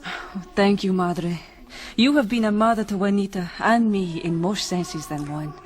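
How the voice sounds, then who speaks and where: A woman's voice: medium pitch, normal speed, a little hiss or hum, natural voice. A woman playing a devout, emotional young widow in a radio drama, speaking earnestly. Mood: earnest, troubled.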